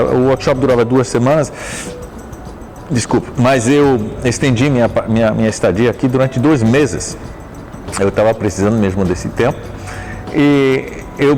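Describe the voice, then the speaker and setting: A middle-aged man looks back on his career as a dancer and teacher, speaking at a middle pitch. He talks in a halting, thoughtful way, often restarting and correcting himself mid-phrase. Soft music plays faintly behind him. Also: poor audio. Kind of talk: reflective life-story interview.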